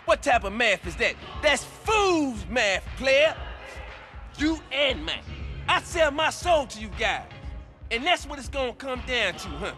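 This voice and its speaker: An actor playing a paranoid, hot-tempered young gangster in video game cutscenes, whiny and shouting. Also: male voice, extremely high pitch, big pitch swings, measured pace, soft background music, exaggerated voice. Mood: indignant.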